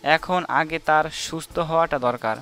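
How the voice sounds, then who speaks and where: A man's voice: on the high side, measured pace, pushed and bright. A man playing a stern, commanding old grandfather in an audio story. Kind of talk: Bengali audio story acting.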